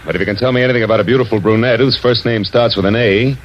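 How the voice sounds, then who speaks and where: A man's voice: medium pitch, steady tone, rapid-fire, a little hiss or hum, natural voice. A man playing a tough, wisecracking American private detective in a hardboiled 1940s radio drama, clipped and sardonic. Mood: brisk, businesslike. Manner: clipped, hard-boiled, sardonic. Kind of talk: 1940s radio acting, hardboiled first-person narration. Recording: dull vintage radio recording with music.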